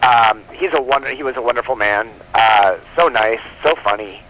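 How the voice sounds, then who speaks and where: A man with a mid-pitched voice chats as a guest on a live telephone interview. He is enthusiastic and talkative, explaining his publishing work in a loose, casual way. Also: moderate pace, a bit bright. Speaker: guest, comic book publisher. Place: on a telephone line. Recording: live telephone call on radio show.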